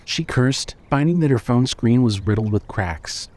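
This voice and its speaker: A man narrating scripted fiction as a storyteller, in a measured way. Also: medium pitch, expressive, a little mellow, no room sound.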